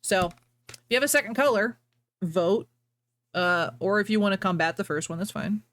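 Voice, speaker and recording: A woman's voice: mid-range voice, moderate pace, fairly bright tone, no room sound. A woman hosting a casual livestream, talking in a playful, teasing way. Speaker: livestream host.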